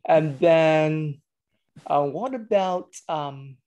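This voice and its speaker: A man hosting an online teachers' meeting, calling on each teacher in turn and filling pauses with ums. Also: fairly high pitch, a little mellow, smooth. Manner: slow, hesitant with many fillers. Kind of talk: hosting a video call.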